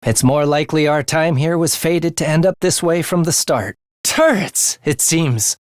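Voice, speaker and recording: A man's voice: on the high side, unhurried, no room sound, clean studio sound, lightly acted. A man voicing a morbid, bloodthirsty young male student scientist in an English video game, speaking theatrically with a sinister relish for the macabre. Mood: measured, wary.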